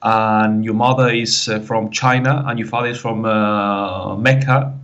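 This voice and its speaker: Halting Spanish-accented man, lowish voice. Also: fairly even intonation, a bit bright, dull audio. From Canary Islands, Spain. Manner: hesitant, halting, with constant "like, you know". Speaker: guest. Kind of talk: casual interview talk.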